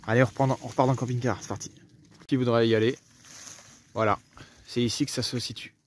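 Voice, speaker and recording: A man's voice: mid-range voice, flat delivery, quick pace, a little hiss or hum. A young man from France narrating a casual travel vlog to a camera held at arm's length, rambling with lots of fillers, outdoors and inside a camper van. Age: young adult. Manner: chatty and rambling, with many fillers. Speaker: travel vlogger. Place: outdoors in a foggy forest and parking lot, also inside a camper van. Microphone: handheld camera at arm's length.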